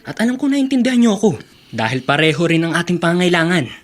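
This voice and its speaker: A brash young student in a radio drama. His voice sits fairly high and swings widely in pitch. He talks in cocky, slangy, joking fashion. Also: sing-song, fast talker. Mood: persuading, cheeky. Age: young adult. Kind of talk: Filipino radio drama acting.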